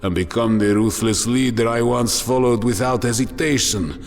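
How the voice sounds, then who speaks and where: An actor playing a wounded, loyal transhuman warrior in a dark sci-fi audio drama, measured, sardonic and weary. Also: male voice, medium pitch, gravelly, natural voice. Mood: bitter reproach. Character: wounded, wry, loyal transhuman warrior, male, bitterly proud. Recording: long hall reverb over music.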